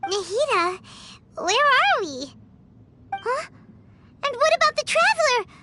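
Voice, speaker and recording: Very high, bouncy little fairy, childlike and fretful, animated delivery for an anime video game. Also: female voice, slow, background noise, heavily acted. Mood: worried. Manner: squeaky, refers to self in third person. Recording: loud music under the voice. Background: loud game music.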